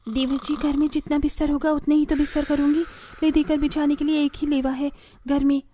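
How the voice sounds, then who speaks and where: A woman's voice: sits high, flat delivery, brisk, dark tone, a little hiss or hum, pitched above the performer's own voice, lightly acted. A woman voicing a dutiful young village daughter-in-law in a family cartoon, polite, earnest and practical. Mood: defensive. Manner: deferential, earnest, pleading. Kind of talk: Hindi family cartoon voice acting.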